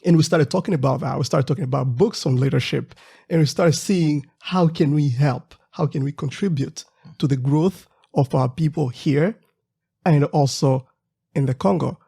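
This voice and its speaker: A man co-hosting a podcast, explaining things in a steady way with pauses. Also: on the high side, creaky, breathy edge. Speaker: podcast co-host. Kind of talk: casual podcast chat.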